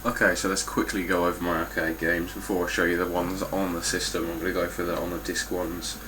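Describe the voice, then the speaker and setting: A man's voice: sits low, little pitch movement, brisk, background noise. A man with a stuffy, nasal voice giving a casual, blunt spoken review of his game collection, with frequent ums and some swearing.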